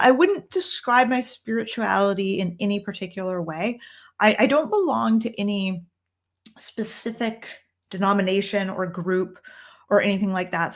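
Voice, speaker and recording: A young Canadian woman with a Canadian accent hosting a casual tarot Q&A livestream from a room at home, thinking aloud with frequent ums and restarts. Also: medium pitch. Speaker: tarot reader and livestream host.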